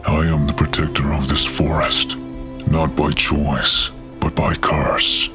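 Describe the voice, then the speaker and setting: A man's voice: a bass voice, little pitch movement, takes it slowly, music in the background. A man playing a huge, ancient cursed wolf spirit, proud and stern, speaking in archaic, formal words in a fantasy audio drama. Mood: solemn.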